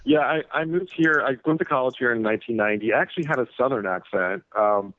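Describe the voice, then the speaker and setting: A man's voice: mid-range voice, quick pace, no room sound, phone-quality sound. Chatty guy from florida on a radio show, dry dullish sound. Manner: chatty, laughing easily. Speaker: guest author. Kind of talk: casual radio interview.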